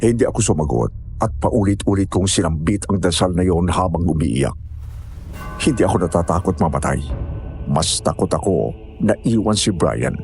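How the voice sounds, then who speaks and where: A man's voice: sits low, expressive, normal speed, creaky, darkish tone, soft background music. A man narrating a scripted first-person horror story.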